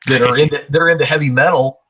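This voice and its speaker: A middle-aged man hosting a casual podcast, hoarse from illness, blunt and quick to swear. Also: fairly high pitch, steady tone, a bit bright, rough recording. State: hoarse, getting over a chest illness. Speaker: podcast host. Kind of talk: casual chat.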